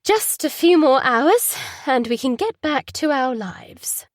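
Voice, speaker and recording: A middle-aged mother with a brittle, raised-up brightness, pasting cheer over strain, as a woman narrator puts her on. Her voice lifts higher than the narration and stays dry and close. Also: expressive, slow, no echo. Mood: forced cheer.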